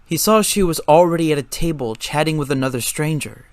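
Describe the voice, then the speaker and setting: A man's voice: on the high side, lively intonation, brisk, dry recording. A man reading scripted story narration as a dramatic reading.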